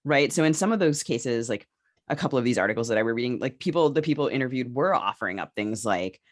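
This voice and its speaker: A woman co-hosting a podcast discussion, speaking casually and haltingly as she thinks her points through aloud. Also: a bass voice, animated. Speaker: podcast co-host.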